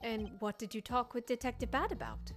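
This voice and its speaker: A woman voicing a proud, stern young male prosecutor in a fan dub of a game, speaking in a formal, measured, skeptical way. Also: a little high, faint music behind, close to an everyday voice.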